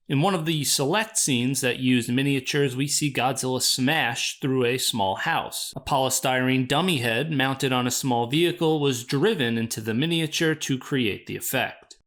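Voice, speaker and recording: A man narrating a scripted video essay in a measured, explanatory way. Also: mid-range voice, a bit bright.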